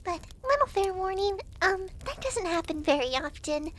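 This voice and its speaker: A woman's voice: very high voice, expressive, a little hiss or hum. A woman playing a chatty, teasing young devil girl clerk in an ASMR roleplay, speaking to the listener in a breezy, giggly way. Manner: giggly, breezy, teasing, halting when flustered.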